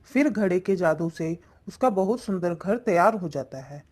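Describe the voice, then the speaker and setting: A woman's voice: lowish voice, expressive, moderate pace, darkish tone. A woman reading scripted narration as the storyteller of a cartoon fable.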